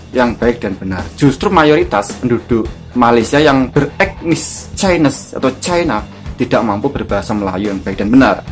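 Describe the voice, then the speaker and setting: A man with a thick regional accent from Java, hosting and commenting to his viewers in Indonesian style. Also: mid-range voice. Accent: Javanese-region Indonesian. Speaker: YouTube host. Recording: guitar music behind the voice. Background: guitar music.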